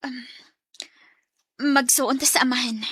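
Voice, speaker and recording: A woman's voice: high voice, steady tone, measured pace, noisy background. A woman acting a polite, earnest, pleading young sister in a radio drama, with a Filipino Bisaya accent.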